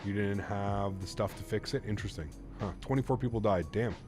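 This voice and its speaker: Relaxed low-voiced man chatting on stream. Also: a little fast, over music. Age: 30s. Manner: relaxed, chatty, thinks aloud with fillers. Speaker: flight sim streamer. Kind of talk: casual live-stream chat reading viewer comments.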